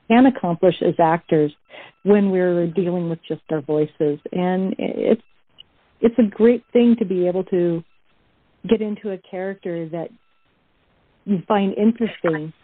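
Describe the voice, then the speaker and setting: A woman's voice: medium pitch, no echo, phone-quality sound. A woman who acts, talking casually and explaining earnestly in a panel discussion over thin call audio. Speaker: voice actor and cast member.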